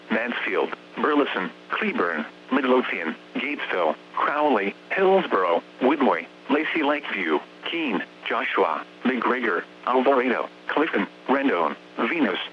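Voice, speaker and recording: Synthetic American man on muffled weather radio. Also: mid-range voice. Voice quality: synthetic text-to-speech voice. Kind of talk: scripted automated weather warning bulletin. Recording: dull, humming, noisy weather radio broadcast.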